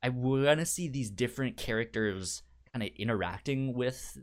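Guy podcast host, medium pitch, kinda halting, casual tv chat. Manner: halting, repeats words as thoughts form, acts out quotes. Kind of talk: casual chat about a TV show.

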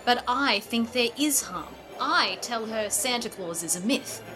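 Actress for strict career mother, radio play; brisk, firm, slightly high voice over music. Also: lively intonation, rough recording. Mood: stern, insistent. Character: practical, strict mother and store executive, no fantasy. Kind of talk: old-time radio play acting.

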